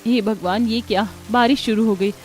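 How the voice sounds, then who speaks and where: A woman's voice: on the high side, fairly even intonation, normal speed, darkish tone, natural voice. A woman playing a patient, dutiful young bride in a cartoon moral story, speaking politely and earnestly. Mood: anxious, pleading.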